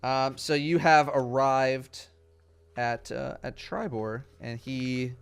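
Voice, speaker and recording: A young man narrating as dungeon master, relaxed and hesitant, with many fillers. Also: medium pitch, slow, creaky, clear, resonant tone. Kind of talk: narrating a tabletop game.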